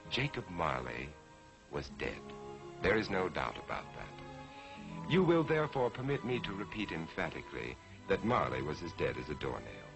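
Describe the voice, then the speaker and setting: A man narrating as a storyteller in a theatrical scripted style, deliberate and emphatic, on a vintage 1940s television soundtrack. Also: very deep voice, sing-song, creaky, music in the background. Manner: deliberate, emphatic, dramatic phrasing.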